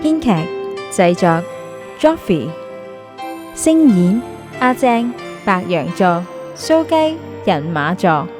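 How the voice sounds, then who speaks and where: A woman's voice: mid-range voice, very animated, takes it slowly, pauses often, over music. A woman with a Hong Kong Cantonese accent announcing scripted titles and credits for a radio drama.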